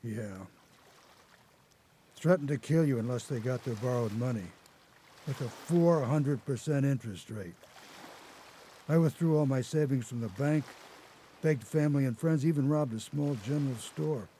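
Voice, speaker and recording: An actor playing a haunted old grandfather, an escaped convict telling his story haltingly in a radio drama. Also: medium pitch, mellow, lightly acted. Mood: bitter, confiding. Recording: noticeable background noise.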